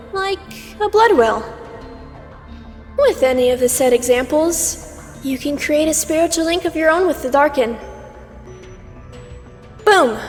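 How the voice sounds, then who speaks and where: A woman's voice: high-pitched, lightly acted. A woman playing a condescending, teasing mentor, an immortal aspect of Twilight in a comic dub, lecturing in a theatrical, sardonic way. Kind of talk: scripted fan comic dub acting. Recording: long reverb over music.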